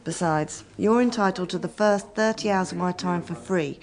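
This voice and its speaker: A woman's voice: fairly low pitch, normal speed, a little mellow, natural voice. An English actress playing a tough, sardonic female private investigator in a radio drama, with dry delivery. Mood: wry, probing. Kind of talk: radio drama acting with first-person narration.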